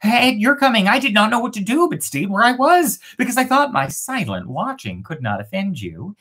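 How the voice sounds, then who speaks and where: A woman's voice: mid-range voice, very animated, moderate pace, fairly bright tone, slightly rough, voice pushed up. A woman voicing a humble, polite young man wandering through a fairy tale. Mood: apologetic.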